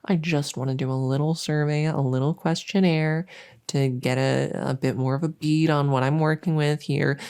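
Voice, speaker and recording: A woman playing a needy, exasperated but harmless female monster under the bed, rambling and stammering softly, often in whispers, in an ASMR audio roleplay. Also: deep voice, steady tone, normal speed, clear, resonant tone. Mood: insistent. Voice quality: soft, often breaking into whispers. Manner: rambling, stammering, pleading, silly.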